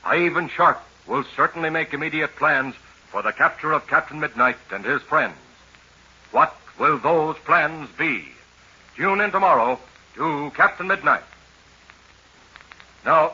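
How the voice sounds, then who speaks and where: A man's voice: a little high, bright, forceful tone, slightly rough. A man announcing a 1940s radio serial with emphatic, dramatic scripted delivery. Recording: muffled 1940s radio recording.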